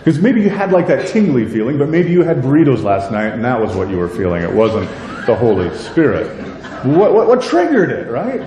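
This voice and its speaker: A deep-voiced middle-aged pastor preaching with warm, mellow tone and a lively, rising-and-falling delivery, full of rhetorical questions and jokes at his own expense. He is recorded from some distance in a reverberant church sanctuary, with a faint low rumble underneath. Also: fairly quick. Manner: conversational, self-deprecating humor, many rhetorical questions. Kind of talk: sermon. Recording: echoey church hall, light rumble.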